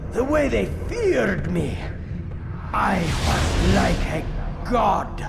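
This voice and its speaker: An actor playing a fanatical, cruel tyrant in a video game, with a theatrical, declamatory delivery. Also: male voice, high-pitched, very animated, very slow, vocal fry, over loud music, exaggerated voice. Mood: gloating, dying. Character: fanatical, cruel male tyrant preaching to a crowd. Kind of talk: video game villain acting.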